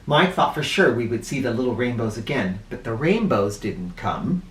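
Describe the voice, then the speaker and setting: Echoey, animated man reading a storybook. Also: mid-range voice. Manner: animated, theatrical, drawn-out emphasis. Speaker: children's read-aloud storyteller. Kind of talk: expressive storybook read-aloud. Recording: roomy echo, distant mic.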